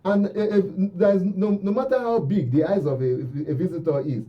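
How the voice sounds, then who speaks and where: A man's voice: high-pitched, lively intonation, fairly quick, recorded from a distance. A Nigerian man speaking as a guest commentator in a radio discussion, emphatic and repeating phrases for stress. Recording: dull, lo-fi radio audio.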